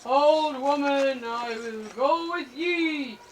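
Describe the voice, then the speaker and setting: A slow, solemn talking Christmas tree from a storybook, put on by a woman telling the tale. It speaks in an old-fashioned way, drawing out each word, lower and heavier than a plain storytelling voice. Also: a little hiss or hum, rough recording.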